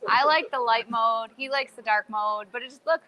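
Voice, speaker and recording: A woman's voice: a little high, moderate pace, dull audio, a crowd in the background. A woman with a rural Southern American accent co-hosting a podcast, teasing and giggly. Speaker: podcast co-host. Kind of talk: casual chat at a live event.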